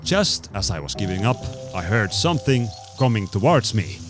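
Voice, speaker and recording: A man's voice: fairly low pitch, sing-song, moderate pace, music in the background. A man with a Finnish accent giving dry, wry voice-over narration for his vlog. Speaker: vlogger.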